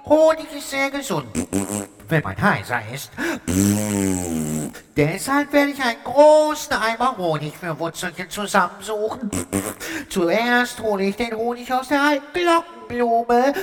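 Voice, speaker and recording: A woman's voice: fairly high pitch, sing-song, unhurried, in a large hall, soft background music. A woman voicing a small busy bee who is eager to help.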